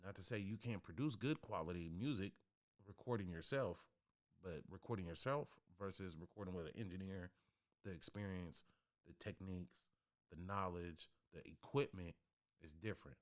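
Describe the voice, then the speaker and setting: Deep-voiced man, blunt and profane. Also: normal speed. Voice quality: deep bassy voice. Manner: profane, blunt, streetwise slang. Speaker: livestream host. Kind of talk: casual livestream talk. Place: home studio. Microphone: studio podcast microphone.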